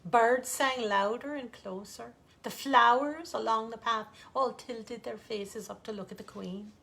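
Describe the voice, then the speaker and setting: A woman with a fairly high voice reads a bedtime story. Her delivery is theatrical and lilting, with dramatic pauses that draw out the fairytale. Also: creaky, a bit bright. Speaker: author reading own bedtime story.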